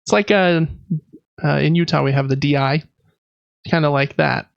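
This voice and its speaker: A man's voice: a little high, normal speed, creaky, dry recording, muffled recording. An American man in his thirties chatting casually as a podcast co-host, rambling along with self-deprecating humor. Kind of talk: casual chat.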